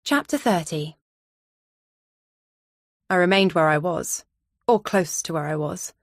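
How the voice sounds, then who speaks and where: A woman's voice: fairly low pitch, lively intonation, slow pace, dry recording, clean studio sound. A woman reading scripted first-person thriller narration as an audiobook narrator.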